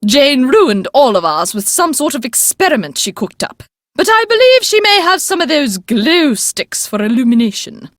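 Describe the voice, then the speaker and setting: A woman's voice: a little high, no echo, clean studio sound. A woman playing an elderly, upper-class English great-aunt in a video game, proper, haughty and emphatic, stern but fond. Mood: prim, matter-of-fact. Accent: English, upper-class British. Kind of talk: video game character dialogue.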